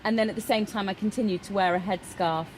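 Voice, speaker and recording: Over clear background noise, a woman gives a live spoken interpretation of a personal statement. Her voice sits at a medium pitch, and she delivers it phrase by phrase, with halting pauses. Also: fairly even intonation, low-quality recording. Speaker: interpreter voicing a Deaf signer.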